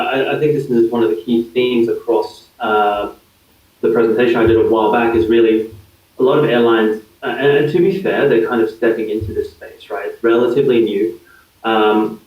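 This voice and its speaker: A man in his thirties with an Australian accent, explaining his work as a guest in a relaxed interview, with many fillers and restarts. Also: mid-range voice. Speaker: guest, digital consultant. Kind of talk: casual interview answers. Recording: far from mic, echoey, dull lo-fi.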